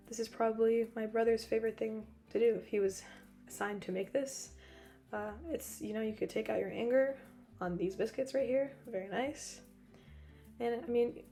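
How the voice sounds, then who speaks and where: A young woman with a hoarse voice, still recovering from losing it, narrating a cooking video in a chatty, joking way with frequent laughs. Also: mid-range voice, mellow. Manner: chatty, joking, laughs often, rambling asides. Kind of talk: casual voice-over narration.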